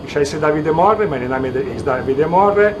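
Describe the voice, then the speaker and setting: A man from Italy, an ice cream maker, speaking halting German with an Italian accent and many pauses and fillers. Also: a little high, lively intonation, highs cut off, heavy background noise.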